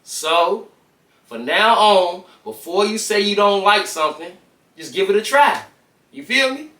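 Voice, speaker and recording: An American man with a notably high voice hosts a children's read-aloud. His delivery is casual storytelling mixed with informal chat, full of everyday slang aimed at young listeners. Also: expressive, unhurried, sharp tone, echoey room, far from the mic.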